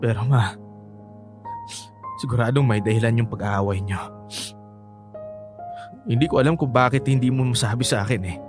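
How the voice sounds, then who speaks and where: A man's voice: mid-range voice, normal speed, long pauses, over music, lightly acted. An actor with a Filipino accent playing an emotional, pleading teenage son in a radio drama, stammering through his lines. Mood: hurt, remorseful. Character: distraught teenage son refusing to believe his father left.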